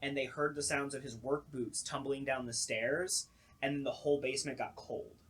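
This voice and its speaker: A man's voice: medium pitch, steady tone, normal speed, fairly bright tone, breathy edge. A young man with a mid-range voice talks as a podcast co-host. He tells stories in loose, conversational language. He slips into acted-out reactions as he goes. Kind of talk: casual storytelling chat.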